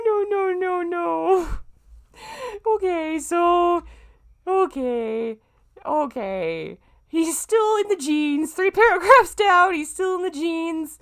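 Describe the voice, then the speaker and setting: A woman streamer commenting casually and reading aloud in an exclamatory, informal, self-mocking manner. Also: very high voice.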